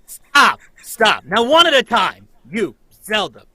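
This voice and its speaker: A man acting out a harried circus manager in a 1940s-style radio play, barking orders in a bright, tense voice. The recording is dry and close, with lively swings in pitch. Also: slow pace, no room sound, exaggerated voice. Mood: stern.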